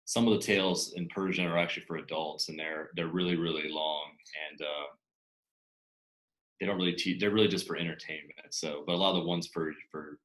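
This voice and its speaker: A man's voice: low-pitched, steady tone, a little fast, a bit bright. A man presenting in a casual panel talk, hesitant and often repeating words.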